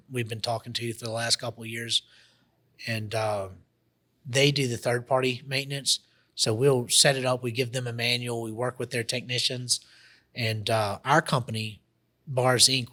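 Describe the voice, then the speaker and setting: Male guest, bright tone, rambling delivery with restarts, radio interview. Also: mid-range voice. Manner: rambling, many restarts and fillers. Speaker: guest, automation entrepreneur.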